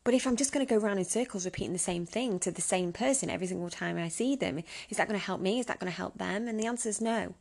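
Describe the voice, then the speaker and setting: Creaky-voiced woman around thirty, chatty and candid. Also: medium pitch, fast talker, hardly any pauses, darkish tone. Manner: candid, chatty, poses rhetorical questions. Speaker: podcast host. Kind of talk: informal solo advice talk.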